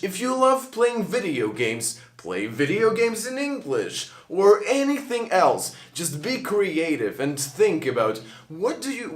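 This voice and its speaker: Slow, animated Russian-native man teaching. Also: fairly high pitch. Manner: slow, expressive, earnest advice. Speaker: English teacher. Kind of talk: on-camera English lesson. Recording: echoey room.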